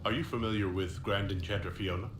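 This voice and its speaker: A man playing a polite, inquisitive hero in a fantasy video game, asking questions in a calm, courteous way. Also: on the low side, fast talker, lightly acted. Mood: earnest, curious. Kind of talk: scripted video game dialogue. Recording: roomy echo, clear background noise.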